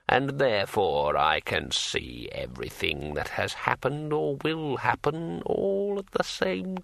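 Storybook undead elder: brittle old voice, very slow and halting, swooping pitch, musing and muddled. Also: male voice, vocal fry, sharp tone, no echo, full character voice. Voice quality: brittle, aged. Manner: slow, halting, drawn-out delivery. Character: slumped dead old man, conversational, rambling, confused about time.

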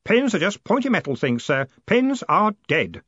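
A man voicing an earnest, excitable and polite boy enthusiast in an audiobook. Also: sits high, moderate pace, no room sound, highs cut off, voice pushed up, exaggerated voice. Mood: eager.

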